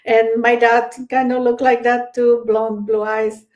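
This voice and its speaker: A woman's voice: fairly high pitch, level tone, unhurried, darkish tone, dull audio. A woman with a Polish accent, a guest psychic medium, speaking in a hesitant interview style full of fillers and restarts. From Poland. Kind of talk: casual interview chat.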